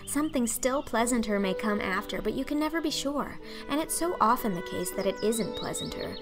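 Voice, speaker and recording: A woman's voice: fairly high pitch, lively intonation, a little fast, over music, pitched above the performer's own voice, natural voice. A woman voicing a dreamy, talkative, imaginative orphan girl in an audiobook, breathless and earnest. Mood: eager, earnest.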